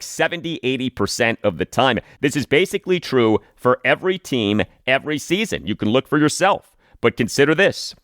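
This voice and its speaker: A man hosting a sports podcast, giving scripted commentary and predictions with emphatic, deliberate phrasing. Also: medium pitch, a bit bright, no echo, studio quality.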